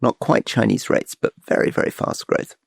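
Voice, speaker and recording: A man's voice: normal speed, dry recording, studio quality. A middle-aged man co-hosting a podcast, explaining eagerly and restarting his sentences as he goes. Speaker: podcast co-host. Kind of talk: casual political chat.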